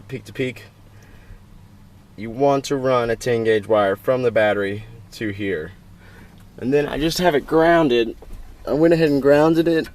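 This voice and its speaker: A man's voice: medium pitch, darkish tone. A man giving an informal, plainspoken how-to walkthrough as a DIY video host, filmed in and around a pickup truck. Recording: clear background noise, vehicle ambience.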